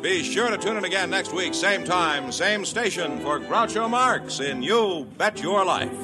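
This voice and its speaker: A man giving a 1950s radio show's closing announcement, brisk and polished. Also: sits high, expressive, over loud music.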